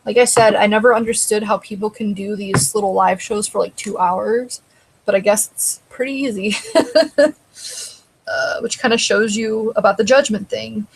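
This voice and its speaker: Woman with a husky, gravelly voice. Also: medium pitch, moderate pace, poor audio. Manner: rambling, conversational, answers chat comments. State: dry throat, voice tiring. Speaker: live show host. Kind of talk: casual live-stream chat.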